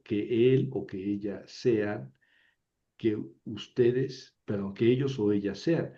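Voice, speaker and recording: Guy teaching, mellow voice, patient, dull lo-fi sound. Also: medium pitch. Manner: explains patiently, often asks "¿verdad?". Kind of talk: lecture. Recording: dull lo-fi recording.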